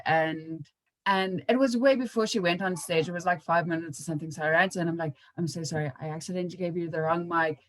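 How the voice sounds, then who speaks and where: A woman's voice: lowish voice, fairly bright tone, no echo. A young woman hosting a podcast, chatting casually and informally in an anecdotal style. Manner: informal, acts out remembered remarks. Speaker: podcast host.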